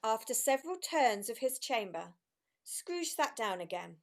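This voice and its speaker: A woman's voice: a little high, very animated, a little slow. A woman narrating a scripted story in the manner of a radio play.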